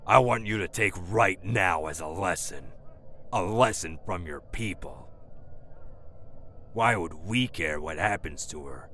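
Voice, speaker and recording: A man acts out a bitter rebel villain out for revenge in an audio drama. The voice is low and hard-edged, swinging widely in pitch with mocking menace, over faint noise. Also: animated, measured pace, bright, forceful tone, exaggerated voice. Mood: cold, vengeful. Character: vengeful, bitter rebel tormenting a captive prince.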